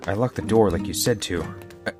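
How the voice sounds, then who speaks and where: A man performs a shifty young male scam artist in an audio drama. The voice is low, with a nervous, hesitant delivery. Loud music runs over it. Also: brisk, close to an everyday voice. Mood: nervous, defensive.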